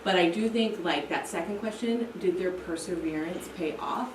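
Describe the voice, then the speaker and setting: A woman's voice: fairly low pitch, normal speed. An American woman giving coaching feedback as a teacher educator, often checking in with "right?". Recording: roomy, distant mic, clear background noise.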